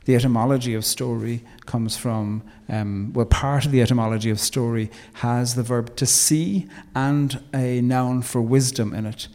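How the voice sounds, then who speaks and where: Irish man, interview guest, measured and reflective with emphatic moments; mid pitch, long reverb. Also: fairly even intonation, dark tone. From Ireland. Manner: measured and thoughtful, with emphatic turns. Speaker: guest, theologian and poet.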